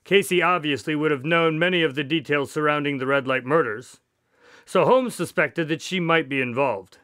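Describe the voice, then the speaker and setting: Actor as an earnest, higher-voiced doctor sidekick. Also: male voice, moderate pace, a bit bright, dry recording, studio quality, lightly acted. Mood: explanatory. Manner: earnest, explanatory. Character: earnest male doctor, detective's companion recounting cases. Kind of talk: radio drama storytelling.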